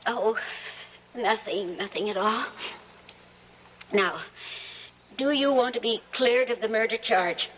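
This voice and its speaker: An actress playing an anguished but determined wife in earnest, melodramatic old-time radio drama style. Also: female voice, on the high side, takes it slowly, pauses often, muffled recording, slight background noise. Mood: guarded, shaken. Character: anguished, determined wife secretly seeking the truth.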